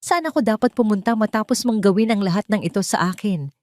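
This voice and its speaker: A woman's voice: mid-range voice, fast talker, a little mellow, no room sound, voice pushed up, natural voice. A woman voicing a hurt, angry young wife who accuses and pleads in a Tagalog audio drama. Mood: furious. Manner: accusing, pleading rhetorical questions.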